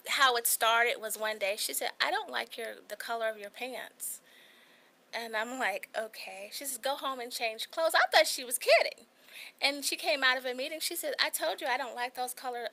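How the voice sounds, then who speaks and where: A woman's voice: on the high side, sharp tone, poor audio. A woman in her forties with a small, light voice, telling her own story as a guest on a talk show, earnest and candid. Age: 40s.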